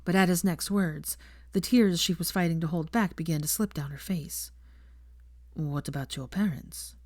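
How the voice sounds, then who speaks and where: A woman's voice: on the low side, moderate pace, a little mellow, no echo, clean studio sound. A woman reading a scripted story as the narrator of a fan fiction audiobook.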